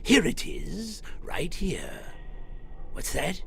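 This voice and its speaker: A man's voice: fairly high pitch, huge pitch swings, gravelly, husky, full character voice. A man playing an eccentric, spooky horror radio host with a theatrical, macabre manner. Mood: playfully macabre. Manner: macabre, cackling, drawn-out delivery.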